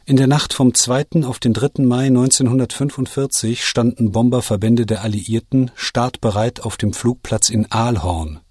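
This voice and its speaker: A man guiding the listener through scripted narration, giving deliberate instructions as an audio walk narrator. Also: mid-range voice, steady tone, darkish tone, husky, no echo, studio quality.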